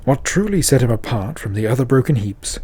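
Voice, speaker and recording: A man's voice: medium pitch, very animated, fairly quick, darkish tone, breathy edge. A man narrating a scripted fantasy audio drama as the storyteller.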